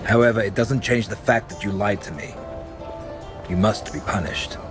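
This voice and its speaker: An actor playing a stern, commanding inquisitor in a medieval video game, speaking in a measured, threatening, authoritative way. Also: male voice, fairly low pitch, close to an everyday voice. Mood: cold, menacing. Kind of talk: video game cutscene acting. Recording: dramatic music behind the voice.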